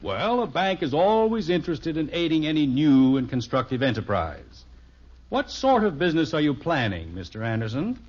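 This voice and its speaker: A man's voice: a little high, very animated, moderate pace, a little mellow. A muffled old radio recording of a middle-aged American father in a family sitcom. His lightish voice swings freely as he patiently plays pompous banker to his children. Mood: mock-formal, patient. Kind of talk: 1950s radio sitcom acting.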